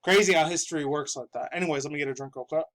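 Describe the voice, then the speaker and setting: A man's voice: on the high side, very fast, fairly bright tone. A man in his thirties, a little stuffy-nosed, rambling casually and profanely through gaming news in his room, often laughing. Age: 30s. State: stuffy from dust. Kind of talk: casual video commentary.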